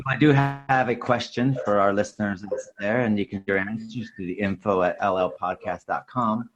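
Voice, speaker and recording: A man's voice: lowish voice, steady tone, a little fast, highs cut off, crowd noise. A man hosting a podcast in a casual, chatty style. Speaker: podcast host. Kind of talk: casual chat.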